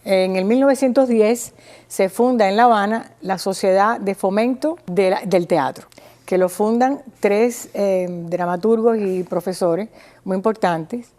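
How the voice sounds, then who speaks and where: A woman from Cuba with a Cuban accent gives an informal, didactic talk, pausing and restarting and often checking with her listener. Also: mid-range voice, dark tone. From small town in Cuba. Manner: didactic, halting, checks with listener using tag questions. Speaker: guest speaker on Cuban theatre history.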